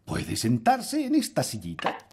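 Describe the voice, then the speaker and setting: A man's voice: on the high side, measured pace, slightly rough, full character voice. A man voicing a blustering, self-assured middle-aged fairy king in a children's cartoon. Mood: breezy, reassuring. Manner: pompous, expressive. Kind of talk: animated children's cartoon dub.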